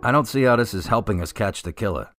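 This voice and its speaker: A man acts a police officer in a radio drama. The voice is on the low side, with plain, matter-of-fact delivery. He comes across as skeptical and defensive. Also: lively intonation, quick pace, music in the background, close to an everyday voice. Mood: exasperated.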